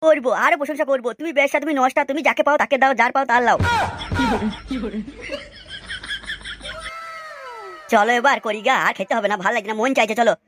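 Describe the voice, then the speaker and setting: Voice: medium pitch, racing, natural voice. A performer voicing a lewd, eager young male admirer in a cartoon, effusive and insistent as if wholly sincere. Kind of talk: cartoon dialogue.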